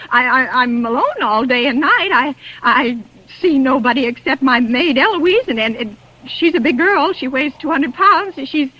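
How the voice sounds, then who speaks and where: A woman in 1940s radio acting, playing a nervous, demanding bedridden invalid who stammers when flustered, on a lo-fi vintage radio recording. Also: high-pitched. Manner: stammering, frantic, petulant.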